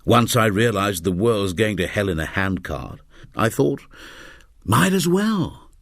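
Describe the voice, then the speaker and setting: A man voicing a moralizing, calmly fatalistic man over seventy in a story, with a peaceable, sardonic, unhurried manner. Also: fairly low pitch, dry recording, studio quality, heavily acted. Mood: sly, wry. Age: 70s.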